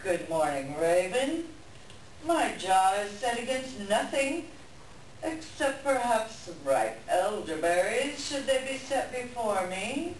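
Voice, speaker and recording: A performer playing a wise, solemn bear leader in a dramatic staged reading, measured and grave. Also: mid-range voice, sing-song. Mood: calm, weighty. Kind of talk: dramatic reader's theater performance. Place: live staged reading in a library room. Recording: roomy, distant live recording, faint noise.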